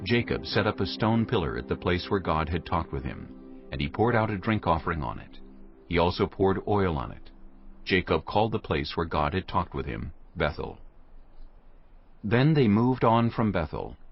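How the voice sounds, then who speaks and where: A man's voice: deep voice, sing-song, moderate pace, gravelly. A man narrating scripture from a script in a solemn storytelling manner. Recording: muffled audio under music. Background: music.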